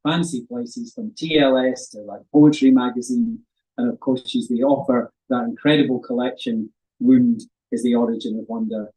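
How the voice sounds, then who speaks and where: A man introducing a guest poet to a live audience in a bookshop. He talks in a playful, flowery way and laughs often between lines. His pitch sits in an ordinary middle range for a man. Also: mellow, rough recording. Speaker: event host introducing a poet. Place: bookshop event in front of an audience.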